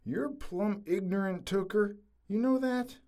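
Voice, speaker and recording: A woman's voice: medium pitch, unhurried, warm tone, natural voice. A woman playing an antsy, scolding small-town husband in a comic audio drama, fussy and folksy with a Southern US accent.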